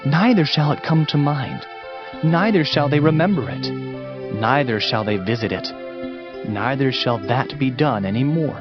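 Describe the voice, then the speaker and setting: A man reads scripture as a dramatic narrator, with a solemn and declamatory delivery. His medium-pitched voice rises and falls widely. The audio is a little dull, with music clearly behind it. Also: expressive, normal speed.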